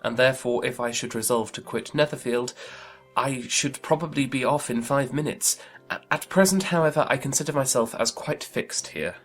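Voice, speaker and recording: Audiobook role: amiable, earnest young gentleman, played by a woman, speaking low and courteously. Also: normal speed, soft background music. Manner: polite, emphatic period speech. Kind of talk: dramatized audiobook character reading.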